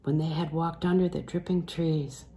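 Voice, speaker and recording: A woman reading a literary novel aloud in a measured, phrase-by-phrase way, letting phrase endings fall soft and breathy. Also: low-pitched, fairly even intonation. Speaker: audiobook reader.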